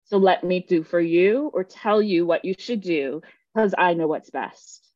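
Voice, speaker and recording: A woman's voice: fairly low pitch, a little slow, darkish tone, dry recording, lo-fi sound. A woman from Jamaica leading an online workshop, speaking earnestly and emphatically and often checking in with her listeners. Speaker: workshop facilitator. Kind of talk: teaching a live online workshop.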